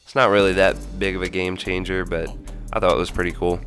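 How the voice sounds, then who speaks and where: A young man with a deep, stuffy voice walks through game tips in an even, flat tone, still congested from a cold. Music runs clearly under his words. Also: quick pace. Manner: steady, explanatory delivery. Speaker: gaming channel host. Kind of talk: scripted game tips voice-over. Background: background music.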